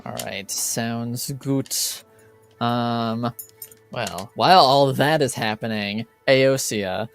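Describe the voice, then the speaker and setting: A man narrating a tabletop roleplaying game as game master, with a halting, deliberate delivery. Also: mid-range voice, creaky, soft background music.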